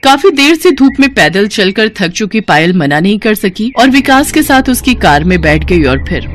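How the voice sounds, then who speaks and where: A woman's voice: medium pitch, very animated, normal speed, a little hiss or hum. A woman telling a scripted story as its narrator, in storytelling style.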